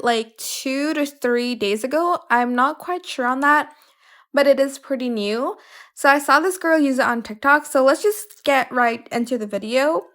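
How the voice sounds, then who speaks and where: A woman's voice: high-pitched, fairly bright tone. A woman narrating a how-to tutorial in a casual, informal way, a little hesitant and correcting herself as she goes. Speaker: tutorial host.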